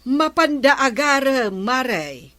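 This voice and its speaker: A woman's voice: high voice, very animated, slow, bright, forceful tone, no echo, heavily acted. A woman with an Ilocano accent playing a gossiping village woman in a comedy drama. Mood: gossipy.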